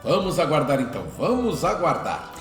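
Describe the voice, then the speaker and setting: A man's voice: a little high, very animated, moderate pace. A man playing a protective, emphatic father of a grown son in a radio drama. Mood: concerned, hopeful. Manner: emphatic, declamatory. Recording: roomy echo, faint music behind.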